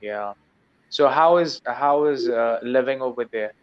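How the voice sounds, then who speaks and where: A man from Pakistan, a teacher practicing his English on a video call, speaks slowly and haltingly in short answers. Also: medium pitch, muffled recording, background noise. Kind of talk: casual video-call chat.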